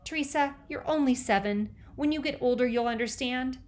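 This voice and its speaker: An earnest young teenage boy, as a woman reads him, slightly flustered and kind. The voice sits a touch high. An audiobook character, barely put on. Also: moderate pace, lightly acted. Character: awkward, well-meaning young teen boy.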